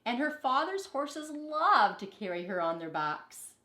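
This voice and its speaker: A woman teacher reading a children's storybook aloud in an expressive, deliberate way, with brief teaching asides. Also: on the high side. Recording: echoey, reverberant room.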